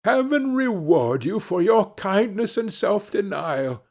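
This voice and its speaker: A man's voice: medium pitch, sing-song, unhurried, gravelly, breathy edge, exaggerated voice. A naive young peasant man from a fairy tale, acted by a male narrator, earnest and simple. The voice swoops up and down in exclamations and takes its time over the words. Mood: grateful, pleased.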